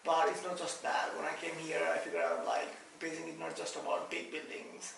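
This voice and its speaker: A young man with a Nepali accent gives casual, hesitant interview answers. His voice is fairly high with a creaky edge, heard from a little distance in a very echoey room. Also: very animated, brisk, fairly bright tone. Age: young adult. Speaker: interviewee, master's student. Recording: very echoey room, distant mic, faint noise.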